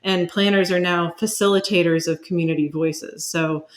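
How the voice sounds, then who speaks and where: A woman's voice: fairly low pitch, steady tone, moderate pace. A woman speaking as an expert guest in a conversational interview, explaining thoughtfully and building her points step by step.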